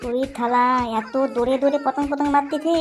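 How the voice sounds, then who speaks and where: Woman doing a high-voiced timid cartoon boy. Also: steady tone, fairly quick, darkish tone, a little hiss or hum, natural voice. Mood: curious, agreeable. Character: timid little cartoon boy, hesitant sidekick.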